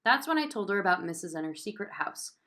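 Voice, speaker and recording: A woman's voice: on the low side, big pitch swings, fast talker, sharp tone. A woman reading a children's book aloud expressively, narrating in a child's first person.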